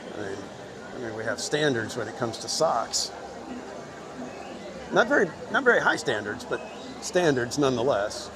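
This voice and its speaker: A man's voice: medium pitch, big pitch swings, moderate pace, long pauses. A man hosting a casual live stream outdoors, chatting and joking with viewers and reading their names. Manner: chatty, joking, reads chat names aloud. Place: outdoors in a crowded theme park. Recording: outdoor with loud crowd.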